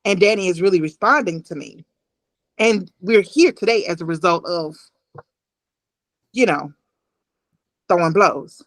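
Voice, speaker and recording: A woman in her thirties telling a personal story as an interview guest on a video call, deliberate and emphatic, repeating phrases. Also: on the low side, dry recording.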